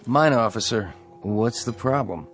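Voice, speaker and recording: A man's voice: lowish voice, animated, unhurried, soft background music. A man playing a stubborn, wry bus driver in a radio drama, blunt and folksy in his delivery. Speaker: actor in a radio play.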